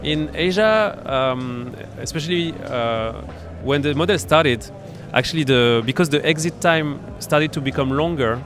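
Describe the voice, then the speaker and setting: A man with a French accent, a startup accelerator founder being interviewed at a startup event, explaining his business in a halting way full of fillers and restarts. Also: fairly high pitch, music in the background. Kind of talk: conversational interview.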